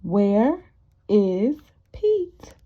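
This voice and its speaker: A woman teacher reading a picture book aloud to young children, expressive and encouraging, often asking the listeners questions. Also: mid-range voice, extremely slow, a little hiss or hum. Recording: dull, muffled lo-fi sound.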